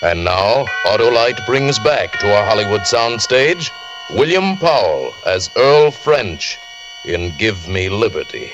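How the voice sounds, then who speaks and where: A male announcer giving a measured, dramatic scripted introduction to a vintage radio drama. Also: fairly low pitch, sing-song, music in the background. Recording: muffled vintage radio recording.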